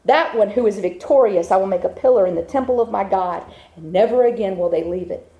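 A woman's voice: mid-range voice, fairly quick, vocal fry, highs cut off. A woman preaching a sermon to a congregation, building her points with rhythmic repetition. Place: church with a congregation. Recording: echoey room.

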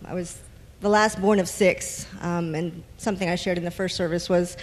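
A woman's voice: lowish voice, fairly even intonation, normal speed. A woman from South Jersey introducing herself on a church panel in a friendly, smiling manner. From South Jersey, USA. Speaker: panelist, pastor's wife. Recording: hall reverb.

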